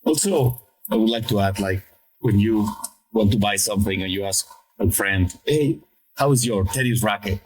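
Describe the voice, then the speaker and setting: A middle-aged man with a Mexican Spanish accent talks in English, his first language being Spanish. He pauses and restarts often but stays friendly. His voice sits on the lower side. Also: low-quality recording. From Mexico City, Mexico. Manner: hesitant, many fillers and restarts, friendly. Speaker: guest, sales lead. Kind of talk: interview answers.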